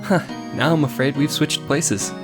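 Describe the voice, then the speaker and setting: A woman's voice: deep voice, normal speed, music in the background, close to an everyday voice. A woman voicing an earnest, wry young male defense lawyer in a video game dub. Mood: relieved.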